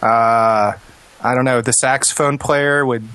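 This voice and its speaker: A man's voice: medium pitch, steady tone, unhurried, bright, forceful tone, no room sound. A man chatting casually as a podcast host. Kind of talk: casual chat.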